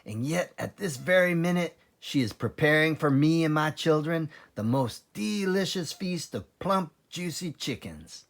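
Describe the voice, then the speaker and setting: A sly storybook father fox , acted out by a man, fond and sure of himself. He speaks in unhurried, coaxing phrases with theatrical swings of pitch. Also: expressive, gravelly. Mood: proud, generous.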